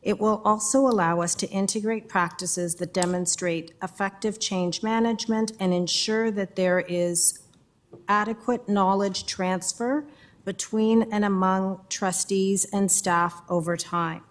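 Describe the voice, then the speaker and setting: A woman director introducing a report at a school board meeting in a measured, formal way, reading prepared remarks. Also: medium pitch, steady tone.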